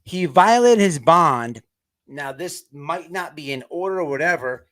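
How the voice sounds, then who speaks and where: A man hosting an online show with off-the-cuff livestream commentary, halting as he repeats words and restarts. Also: on the high side, lively intonation, a bit bright.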